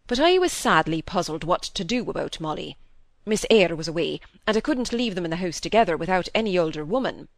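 A woman voicing a sensible middle-aged gentleman from a storybook, matter-of-fact and briskly impatient. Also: fairly low pitch, animated, dry recording, studio quality. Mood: dry, explaining.

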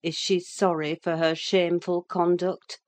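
A woman's voice: on the low side, level tone, a little slow, darkish tone, natural voice. A woman voicing a no-nonsense, sardonic female servant in a dramatised audiobook, dry, sententious and scolding. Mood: cool, disapproving. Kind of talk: dramatised audiobook reading of a classic novel.

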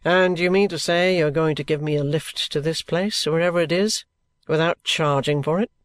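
A man plays a stiff former soldier in a storybook tale. He speaks gravely and guardedly, as if weighing each answer, in a slightly high male register. The sound is close and dry. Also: moderate pace, no echo, natural voice. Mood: grave, wary.

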